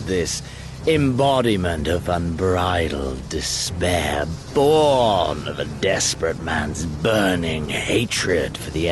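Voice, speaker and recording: An actor playing a bloodthirsty, grandiose imperial warlord prince obsessed with the hunt, in a theatrical video game style with archaic, formal phrasing. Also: male voice, low voice. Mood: brooding reverence. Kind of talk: dramatic video game villain acting. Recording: dramatic orchestral music behind.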